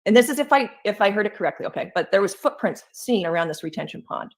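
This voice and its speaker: A woman's voice: mid-range voice, expressive, fast. A woman giving informal true crime commentary in an emphatic, conversational way, repeating her points for weight.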